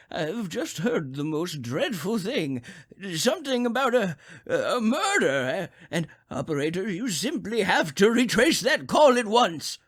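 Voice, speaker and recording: A man's voice: high voice, fairly bright tone, husky, full character voice. A man playing a crotchety, nervous old man in a radio drama, stammering and agitated as he talks. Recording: eerie music behind the voice.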